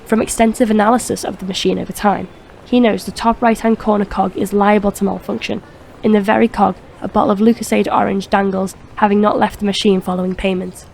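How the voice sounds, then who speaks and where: A woman's voice: medium pitch, little pitch movement, brisk, vocal fry, a little mellow, a little hiss or hum. A woman reading scripted narration for a crime audio drama.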